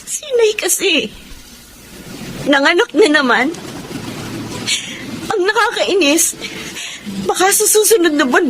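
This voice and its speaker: An actress playing a long-suffering young daughter, earnest, reproachful and emotional, in a Tagalog radio drama. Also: female voice, extremely high pitch, poor audio. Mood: weary, hurt. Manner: tearful, emotional.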